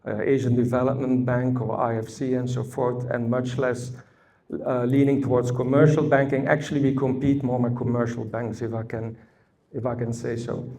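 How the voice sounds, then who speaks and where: A man with a Belgian accent presents at a conference workshop, pausing often on fillers as he builds his points. His voice is gravelly and warm rather than bright, with a steady middle pitch. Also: a little hiss or hum. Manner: deliberate, frequent "uh" fillers, storytelling asides. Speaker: conference speaker. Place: conference hall.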